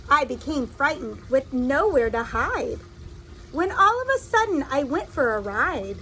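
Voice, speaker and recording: A woman reading a children's story aloud in an expressive, deliberate storytelling style, like a teacher addressing young listeners. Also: sits high, a little hiss or hum, low-quality recording.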